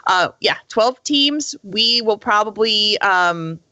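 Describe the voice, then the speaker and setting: A woman with a very bright, forward voice, recorded dry and close. She talks casually as a podcast co-host, sounding upbeat and enthusiastic. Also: medium pitch, animated, slow pace, dry recording. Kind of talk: casual esports chat.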